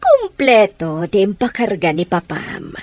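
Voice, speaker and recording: A woman's voice: mid-range voice, huge pitch swings, a little slow, no room sound. A woman playing a wealthy, bossy, scheming mother in a radio drama, speaking theatrically and emphatically. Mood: scheming, assured.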